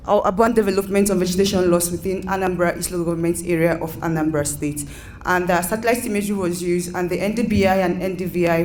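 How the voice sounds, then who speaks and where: A woman's voice: on the low side, a little mellow, slight background noise. A woman from Nigeria with a Nigerian accent, giving an academic conference presentation with many fillers and self-corrections.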